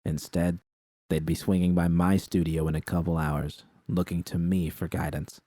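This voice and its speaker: A man's voice: sits low, flat delivery, moderate pace, mellow, no echo, studio quality. A man reading a first-person story aloud as scripted narration. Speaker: narrator reading fan fiction.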